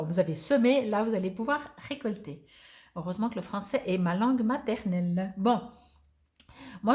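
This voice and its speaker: A woman's voice: medium pitch, a little mellow. A woman giving a weekly oracle card reading, thinking aloud with many hesitations as she reads card texts and comments on them.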